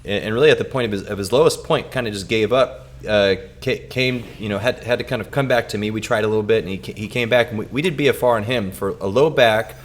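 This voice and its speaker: Man, lowish voice, podcast co-host, clinical storytelling, halting, repeated words. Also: slight background noise.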